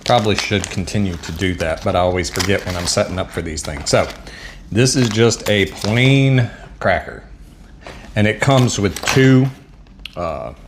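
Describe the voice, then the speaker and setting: Man, Southern US accent, a bit low, chatty and rambling, faint clicks behind. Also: background noise. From Southern United States. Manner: rambling, chatty, self-deprecating, frequent um and uh. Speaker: MRE taste-test vlogger. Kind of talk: casual food review to camera. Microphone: mic set up at a table.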